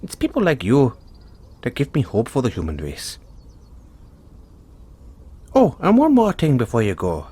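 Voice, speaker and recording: A man's voice: mid-range voice, wildly swooping pitch, a little slow, long pauses, warm tone, breathy edge, full character voice. A man voicing a kindly, playful leprechaun in an audio roleplay, folksy and reassuring. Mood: warm, encouraging. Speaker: roleplay performer. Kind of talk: audio roleplay, speaking softly to one listener.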